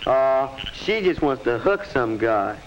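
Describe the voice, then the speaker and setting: A man's voice: mid-range voice, sing-song, slow. A young male actor playing a casual, skeptical American teenage son in a 1950s educational film. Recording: muffled, hissy 1950s film soundtrack.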